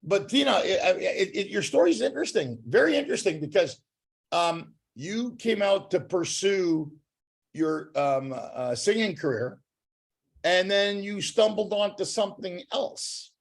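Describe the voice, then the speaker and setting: Man, Canadian accent, co-host, fairly high voice, jokey, rambling. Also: expressive, measured pace, gravelly. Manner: wisecracking, rambling with restarts. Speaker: podcast co-host. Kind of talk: casual joking chat.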